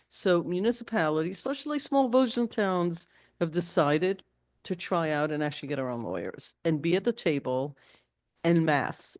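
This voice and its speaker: A woman's voice: low-pitched, a little mellow. Emphatic woman at a public meeting. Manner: hesitant, then indignant and emphatic. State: losing her voice. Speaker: village official presenting a resolution.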